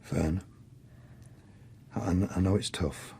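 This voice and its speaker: A man playing an awkward, gentle would-be stepfather in a radio drama, with a hesitant, casual, kindly manner. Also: deep voice, steady tone, slow pace, gravelly, slightly rough, exaggerated voice. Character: awkward, gentle man courting a child's trust.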